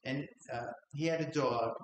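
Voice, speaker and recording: A man's voice: mid-range voice, moderate pace. A middle-aged man, a memoir author on a library panel, tells stories in a conversational way and laughs as he goes. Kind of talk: casual panel storytelling. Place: library event room. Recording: echoey room, faint noise.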